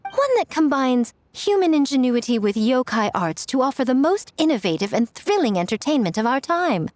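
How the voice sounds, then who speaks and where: A woman's voice: sits high, sing-song, normal speed. A woman voicing a sly, elegant fox-spirit shrine maiden in a video game, coy, smug and playful in a polished manner. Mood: enthusiastic, scheming.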